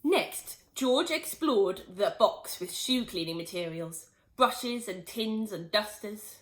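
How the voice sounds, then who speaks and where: A woman teacher reading a children's book aloud in an expressive, animated way. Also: medium pitch, slow pace. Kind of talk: storybook read-aloud.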